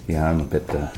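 Gravelly low-voiced male podcast host. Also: very noisy. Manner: chatty, laughs often, light self-mockery. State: gravelly from a cold. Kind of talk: casual chat.

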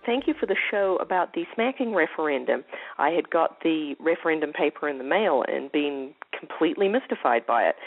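A woman's voice: deep voice, creaky. A woman from New Zealand with its accent, leaving a friendly voicemail for a podcast. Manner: friendly, thankful, with filler pauses. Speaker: caller. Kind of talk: voicemail message. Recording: tinny phone-line voicemail.

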